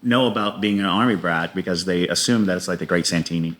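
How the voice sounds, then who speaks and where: Male interview guest: low voice, casual storyteller, halting delivery with repeated words. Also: a bit bright.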